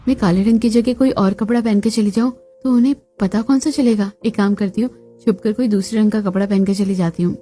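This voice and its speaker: A woman voicing a put-upon young bride in a family cartoon, expressive and dutiful, given to muttering. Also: mid-range voice, fairly quick, lightly acted. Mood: worried, scheming.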